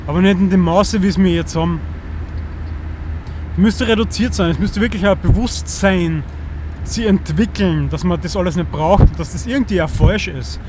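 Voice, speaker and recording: An Austrian man in his thirties with a fairly high voice speaks in a loose, earnest monologue. He often stumbles and restarts as he hunts for the right words. Faint street and car noise sits behind him outdoors. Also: moderate pace. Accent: Austrian German. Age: 30s. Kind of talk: casual rambling monologue.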